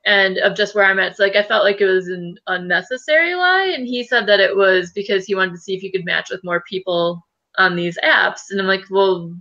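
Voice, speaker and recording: Bright-toned woman over dull webcam audio. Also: medium pitch, fairly quick. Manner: hesitant, lots of fillers. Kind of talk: casual chat.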